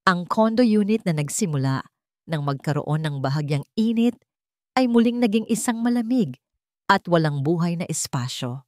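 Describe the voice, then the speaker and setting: A woman's voice: fairly low pitch, lively intonation, normal speed, a little mellow, dry recording, studio quality. A woman reading scripted dramatic narration of a romance story as the storyteller.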